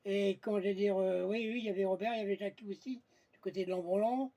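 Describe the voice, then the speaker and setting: A man's voice: high voice, fairly even intonation, quick pace, dark tone. A man chatting casually on amateur radio, blunt and colloquial, often searching for his words. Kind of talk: casual radio contact chat.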